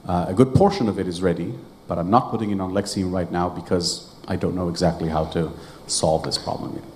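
A man with a very low voice, a native Hebrew speaker, lays out his point slowly and in detail. He speaks from the audience at a conference session, with a faint hum of room noise behind him. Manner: measured, detailed explaining. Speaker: audience member asking a question.

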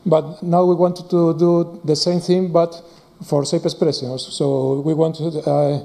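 Man, conference presenter, slightly raised pitch, dark voice, halting. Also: level tone, creaky. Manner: halting, many pauses. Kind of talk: conference talk.

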